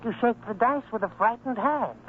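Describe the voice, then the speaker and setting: A man's voice: very high-pitched, moderate pace. A man acting a pushy, wheedling gambler in an old-time radio drama, insistent and persuasive. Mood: goading. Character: pushy, wheedling male gambler tempting a friend. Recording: tinny, noisy old radio recording.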